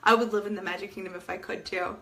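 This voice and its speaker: Woman, 30s, host with a bright voice who is losing it to a cold; gushing, chatty, soft music behind. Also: mid-range voice, steady tone, fast talker, gravelly. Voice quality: soft-spoken, fading voice. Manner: chatty and gushing, many fillers. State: sick, losing her voice. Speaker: livestream host. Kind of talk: casual chat to viewers.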